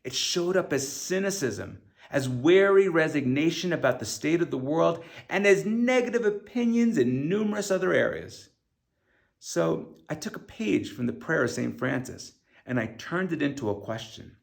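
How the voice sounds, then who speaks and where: A man with a fairly high voice and a noticeable creak narrates a personal memoir. He reads his own written words earnestly, phrasing them deliberately and leaning on key words. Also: lively intonation. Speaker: author reading own audiobook.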